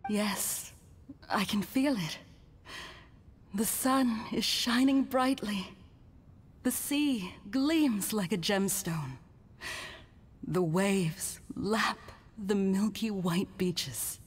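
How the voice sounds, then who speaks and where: A woman playing a serene, gentle young woman in a video game, speaking softly and poetically with a breathy voice. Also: mid-range voice, drawn-out words, pauses often. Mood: dreamy, hushed. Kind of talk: video game character acting. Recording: long reverb.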